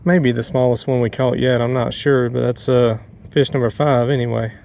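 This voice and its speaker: A man giving relaxed running commentary while fishing from a small boat on a pond, recorded on a head-mounted action camera. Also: medium pitch, moderate pace. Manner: relaxed, talks to himself while fighting fish. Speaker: fishing vlogger. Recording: outdoor action camera with clear background noise and reel clicks.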